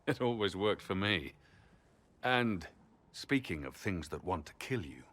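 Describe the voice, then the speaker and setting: Casting: resolute hero, fantasy game, very low pitch, earnest and measured. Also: male voice, big pitch swings, a bit bright, heavily acted. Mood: grave, explaining. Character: grave, resolute male champion in fantasy game.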